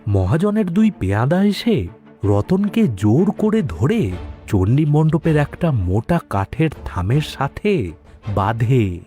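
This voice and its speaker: Male storyteller: dark-toned, animated, dramatic scripted narration with music underneath. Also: medium pitch, slow.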